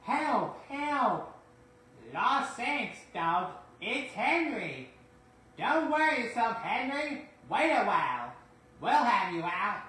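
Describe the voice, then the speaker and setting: A man's voice: very high voice, sing-song, takes it slowly, bright, forceful tone, heavy reverb, pitched above the performer's own voice, exaggerated voice. A man voicing a bold, blustering, helpful engine in a children's story, with emphatic exclamations. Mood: urgent, rallying.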